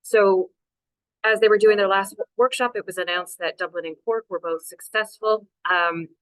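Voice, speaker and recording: A woman's voice: medium pitch, steady tone, moderate pace, no room sound, poor audio. A woman in her thirties with a Canadian accent, giving a chatty webinar talk on a video call about her local authority's climate work, with lots of fillers. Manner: chatty, self-deprecating, many fillers. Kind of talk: webinar presentation.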